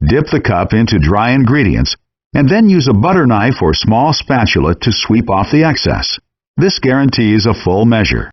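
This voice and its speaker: A man reading scripted cooking-game instructions in a measured, clearly enunciated, instructive way, in compressed audio. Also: lowish voice, no echo, lo-fi sound.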